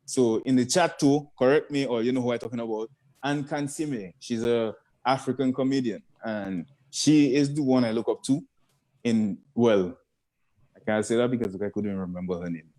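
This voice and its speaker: A man's voice: mid-range voice, normal speed, warm tone. A young man with a Caribbean accent, a guest comedian chatting casually and rambling as he searches for words. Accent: Caribbean English. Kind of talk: casual chat.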